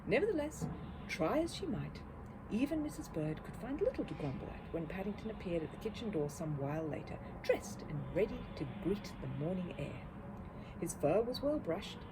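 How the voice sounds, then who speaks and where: Woman reading a kids' audiobook, very lively intonation, bit on the low side, noisy background. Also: normal speed. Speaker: storyteller. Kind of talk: expressive children's audiobook narration. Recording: clear background noise.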